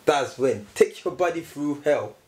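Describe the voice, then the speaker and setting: A man's voice: on the high side, lively intonation, measured pace, darkish tone. A young man on a sofa indoors, co-hosting a casual motivational chat about the gym and repeating phrases for emphasis. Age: young adult. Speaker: co-host, fitness vlogger. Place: indoor room, seated on sofa. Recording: roomy echo.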